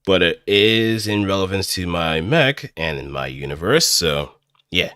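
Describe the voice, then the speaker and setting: A low, deep male voice with a very bright, forceful edge, recorded close and dry. He talks like a casual streamer, working out his thoughts aloud and making things up as he goes. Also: lively intonation, a little slow, clear, resonant tone, no room sound. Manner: hesitant, thinking aloud, improvising as he goes. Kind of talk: casual rambling livestream commentary.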